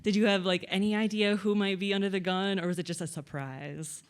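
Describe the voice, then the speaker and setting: A woman's voice: mid-range voice, steady tone, a little fast, clear, resonant tone. A woman from the audience putting a question to a panel guest in everyday, unscripted speech. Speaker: audience member asking a question.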